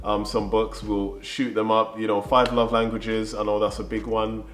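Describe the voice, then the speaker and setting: Low-voiced young man giving advice. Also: level tone, normal speed. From Birmingham, England. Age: young adult. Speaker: co-host of a relationship advice vlog. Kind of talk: casual advice talk to camera. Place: living room, on a sofa. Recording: echoey room. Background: music.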